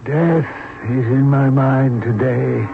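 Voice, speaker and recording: An actor playing a dying Egyptian pharaoh, solemn and halting, in a 1970s radio drama. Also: male voice, medium pitch, creaky, noisy background. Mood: solemn, resigned. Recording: muffled old radio broadcast, faint music.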